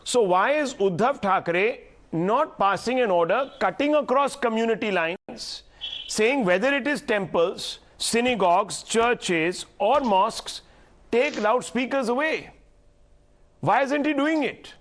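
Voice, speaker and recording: Indian guy anchoring a news debate, really high voice, pushy and argumentative. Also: animated, unhurried, a bit bright. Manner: insistent, interrupting, argumentative. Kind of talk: heated TV debate moderation.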